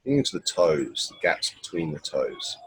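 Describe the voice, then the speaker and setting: A man leading a guided body scan meditation in an unhurried, instructional way, pausing between short phrases. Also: deep voice, big pitch swings, a bit bright, slight background noise.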